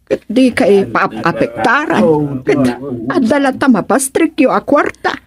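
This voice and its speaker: Gossipy neighbour, radio comedy: Ilocano accent, chatty, very varied pitch, crowd chatter behind her. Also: huge pitch swings, measured pace. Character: gossipy village woman, friend of the funeral owner. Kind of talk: Filipino radio comedy-drama acting.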